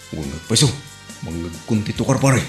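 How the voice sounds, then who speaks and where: A man's voice: on the low side, fairly bright tone. A man playing an easygoing, joking buddy in an Ilocano comedy audio drama, bantering casually with an Ilocano accent. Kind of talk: comic audio drama acting. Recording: echoey, music behind.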